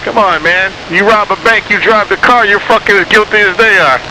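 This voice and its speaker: A man's voice: high voice, a little fast. An American man arguing coarsely and combatively over a CB radio, swearing as he goes. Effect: CB radio transmission. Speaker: CB radio operator. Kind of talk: argumentative political radio chat. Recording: noisy CB radio reception.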